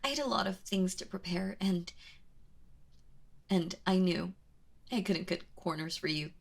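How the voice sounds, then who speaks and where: A woman playing a kind but obsessive, protective yandere in an intimate ASMR roleplay, speaking haltingly and often dropping toward a whisper. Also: fairly low pitch. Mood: tender, determined. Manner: hesitant, halting, breathy with drops into whispering.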